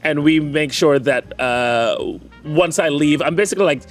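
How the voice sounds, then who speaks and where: A man's voice: a little high, moderate pace, faint music behind. A man from Jamaica with a Jamaican accent polished by classical training, a performer chatting playfully and theatrically in an interview. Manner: playful, theatrical, self-mocking. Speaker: performer and show host. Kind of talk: casual interview chat.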